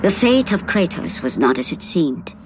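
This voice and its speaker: An actress playing a solemn narrator of an epic legend, giving scripted, portentous narration for a fantasy video game. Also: female voice, lowish voice, sing-song, moderate pace, background noise. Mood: grave. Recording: music behind the narration.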